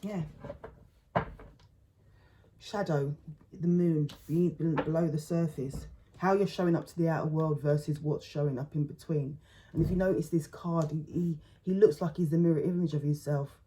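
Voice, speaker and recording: Mellow, halting woman tarot reader. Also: fairly even intonation, slight background noise, poor audio. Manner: halting, repeats words while thinking aloud; says her voice feels low today. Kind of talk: relaxed spoken tarot reading.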